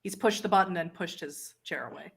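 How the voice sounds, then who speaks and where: A woman narrating a tabletop roleplay game as game master, with measured, descriptive storytelling. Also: lowish voice, gravelly, a bit bright, breathy edge.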